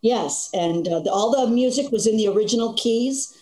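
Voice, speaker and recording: A woman's voice: on the high side, normal speed, reverberant. An older woman, a stage actress, telling stories in an interview with laughs along the way. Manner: animated storytelling with frequent laughs. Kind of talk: conversational interview.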